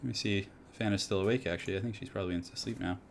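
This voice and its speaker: A man casting a strategy game tournament live and chatting with viewers, his slightly raspy voice getting hoarse, with excitable loud outbursts. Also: lowish voice, racing, noisy background. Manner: excitable, breaks into loud exclamations.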